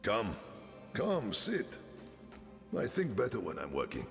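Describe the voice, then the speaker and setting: A deep, gravelly fatherly town elder. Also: huge pitch swings, in a large hall, over music, heavily acted. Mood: calm kindly. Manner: warm, fatherly, unhurried. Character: kindly older male town leader, gentle and steady.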